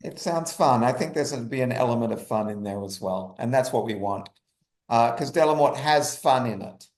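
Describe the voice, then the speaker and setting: Mid-pitched man in an echoey room. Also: animated, fairly quick, darkish tone. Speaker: guest, writer. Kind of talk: casual interview talk.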